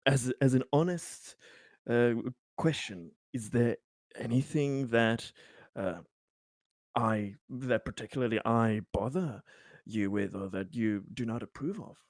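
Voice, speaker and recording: A man's voice: mid-range voice, unhurried, no echo, clean studio sound, lightly acted. Man playing awkward, rambling, over-polite bureaucrat. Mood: politely probing. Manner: rambling, hesitant, word salad.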